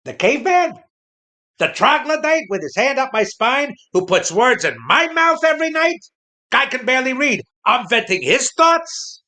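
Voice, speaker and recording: A man's voice: very high-pitched, big pitch swings, moderate pace, bright, forceful tone, exaggerated voice. A man playing a disgruntled ventriloquist dummy, a sardonic showbiz veteran who gripes and wisecracks in a comic audio play. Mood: exasperated, griping. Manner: griping, sardonic, emphatic.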